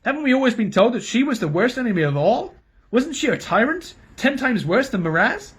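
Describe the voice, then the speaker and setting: A man voicing a commanding, righteous young king with a forceful, declaiming delivery. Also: fairly high pitch, animated, measured pace.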